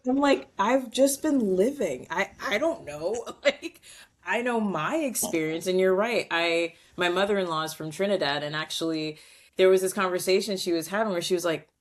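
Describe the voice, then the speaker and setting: Woman, podcast guest, lower voice, animated, chatty, quotes others in acted voices. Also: normal speed. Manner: animated, acts out quoted voices, many "like" fillers; reflective, long-winded explanations. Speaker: guest, culture strategist. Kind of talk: conversational podcast interview.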